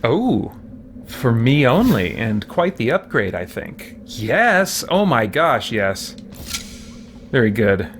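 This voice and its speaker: A man hosting a gaming video, giving casual, halting let's play commentary as he thinks aloud. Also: mid-range voice, sing-song, a little hiss or hum. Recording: loud game music behind commentary.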